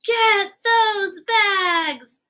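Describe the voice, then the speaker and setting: A woman voicing an anxious, excitable elephant who loves plans, with emphatic, drawn-out exclamations in a children's storybook. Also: extremely high pitch, voice pushed up, exaggerated voice. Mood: urgent, excited. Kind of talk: children's storybook read-aloud.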